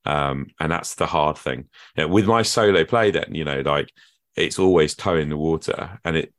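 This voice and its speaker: Male podcast host, rumbling deep register, reflective and searching. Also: normal speed, a bit bright. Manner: reflective, earnest musing. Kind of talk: conversational interview.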